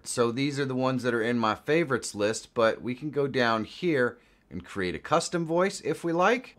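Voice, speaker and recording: Man, fairly low pitch, chatty, informal, software walkthrough narration, close mic. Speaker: tutorial presenter.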